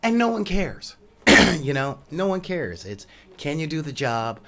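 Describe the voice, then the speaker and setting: A soft-spoken man hosting a podcast, talking casually in a rambling monologue full of ums and asides. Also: very animated, unhurried. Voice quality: says he has a high voice. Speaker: podcast host.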